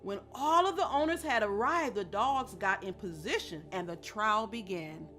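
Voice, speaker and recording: A woman narrating a children's story in an expressive scripted read-aloud style. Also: mid-range voice, moderate pace, soft background music.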